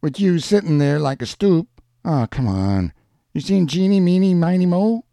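Guy doing a sneering street tough for an audiobook, pitched way up, swoopy, crude and combative. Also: sing-song, moderate pace, gravelly, mellow, no echo. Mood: cocky. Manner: jeering, combative street talk. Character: crude, taunting younger working-class tough guy, sneering bully.

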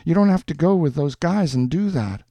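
A lonely working-class New man, as a narrator plays him in a short story. He talks in an earnest, coaxing, slangy way, pushing his pitch up and around. The recording is dry. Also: animated, normal speed, mellow, dry recording. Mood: defensive.

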